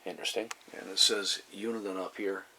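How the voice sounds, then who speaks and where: Low-voiced man, halting delivery. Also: flat delivery, pushed and bright, rough recording. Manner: halting, meandering, repeats himself. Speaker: hobbyist collector presenting his radio. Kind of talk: casual unscripted show-and-tell narration. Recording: clear background noise, room ambience.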